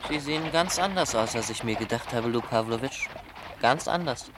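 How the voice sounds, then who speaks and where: An actor playing a flippant, chatty young aristocrat student, a governor's son, in a German radio drama. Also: male voice, mid-range voice, lively intonation, normal speed, noisy background, natural voice. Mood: amused, teasing. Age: young adult. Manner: chatty, irreverent, theatrical.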